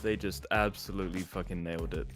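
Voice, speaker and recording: A man's voice: low voice, steady tone, quick pace, fairly bright tone, faint music behind. A man co-hosting a casual podcast, bantering and swearing freely. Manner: joking, profane banter. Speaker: podcast co-host. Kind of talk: casual chat.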